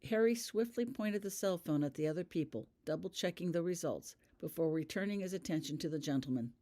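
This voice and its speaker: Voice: mid-range voice, lively intonation, a little fast, mellow. An author reading their own fan fiction as scripted audiobook narration.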